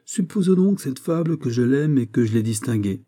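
A man's voice: mid-range voice, lively intonation, moderate pace, mellow, pitched above the performer's own voice, lightly acted. A man voicing a proud, wounded king in an audiobook, with a sarcastic, imperious and emphatic delivery. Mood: bitter mockery.

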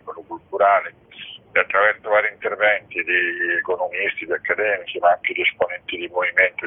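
A man with a husky voice, explaining things in a radio interview. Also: deep voice. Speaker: interviewee presenting a publication. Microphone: telephone. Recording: narrow tinny phone line.

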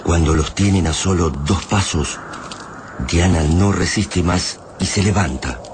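Male narrator for radio drama, Argentine accent, deep register, dramatic read over noticeable noise. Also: measured pace. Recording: clearly noisy radio drama track.

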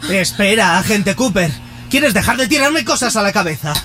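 A man's voice: high-pitched, animated, normal speed, music in the background. A man playing an earnest, determined musician hero in a sci-fi radio serial, with melodramatic delivery. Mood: insistent. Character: earnest, determined male musician hero fighting space octopuses.

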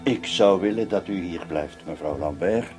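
Radio drama: male actor as probing police commissioner. Low-pitched, formal, authoritative. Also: moderate pace, a little mellow, faint music behind, lightly acted.

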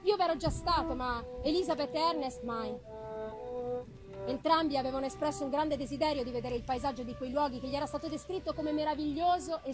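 High-voiced woman reading gothic fiction theatrically. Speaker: literary reader. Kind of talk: dramatic audiobook-style narration of a gothic novel. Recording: noisy live performance recording. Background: background noise and ambience of live performance.

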